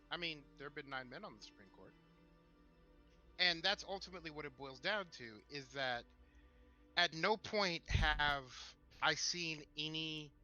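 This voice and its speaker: A man co-hosting a talk show, making his points casually and deliberately in a slightly lo-fi recording. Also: medium pitch, sharp tone. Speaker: podcast co-host. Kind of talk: opinionated discussion, casual chat.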